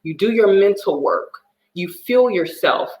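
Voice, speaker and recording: A woman's voice: mid-range voice, expressive, measured pace, darkish tone. Woman guest, divorce coach, sharing her story with feeling; roomy echo around her voice. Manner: earnest, emotional storytelling. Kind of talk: personal testimony in a talk show.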